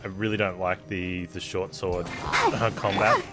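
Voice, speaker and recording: A man's voice: sits low, steady tone, normal speed. A man giving casual, laid-back live commentary as he plays a video game. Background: loud game music.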